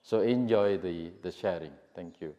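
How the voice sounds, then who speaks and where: A man giving a slow, measured formal introduction with occasional restarts. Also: low voice, mellow, dull audio. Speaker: introducer of the testimonies. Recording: long reverb in a large room.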